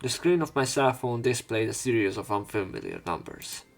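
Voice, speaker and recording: A man's voice: medium pitch, animated, normal speed, gravelly. Casual man narrating over music. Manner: casual, sweary interjections between read lines. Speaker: let's play host. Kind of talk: reading visual novel text aloud with casual commentary.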